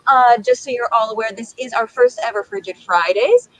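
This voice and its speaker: A woman's voice: fairly high pitch, moderate pace, a crowd in the background, poor audio. A woman presenter making a casual, informal live announcement, upbeat as she fills time. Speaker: show presenter for the organizers.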